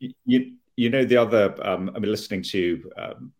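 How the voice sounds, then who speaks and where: A man raised in Kenya with a posh Southern English accent, talking thoughtfully as a guest with many halting restarts. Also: fairly low pitch, wildly swooping pitch, gravelly, darkish tone. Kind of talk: conversational interview.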